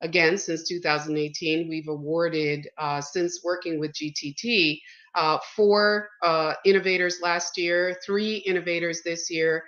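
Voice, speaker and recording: A woman's voice: lowish voice, steady tone, measured pace, a bit bright. A middle-aged woman from Guyana with an American accent, a panel guest talking enthusiastically with many fillers over a video call from a small room. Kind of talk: casual video-call interview. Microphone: laptop webcam on a video call. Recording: dull, lo-fi video-call audio.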